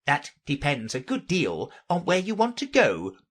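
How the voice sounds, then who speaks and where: A woman's voice: low voice, wildly swooping pitch, a little slow, slightly rough, pitched above the performer's own voice, full character voice. A woman voicing a sly, breezy, grinning mad cat from a children's storybook, teasing and emphatic in manner. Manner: teasing, emphatic, playfully argumentative.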